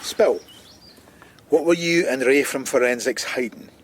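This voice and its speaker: An actor playing a brooding, sardonic middle-aged police detective in a radio drama, with a husky voice. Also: male voice, mid-range voice, unhurried, close to an everyday voice. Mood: pressing.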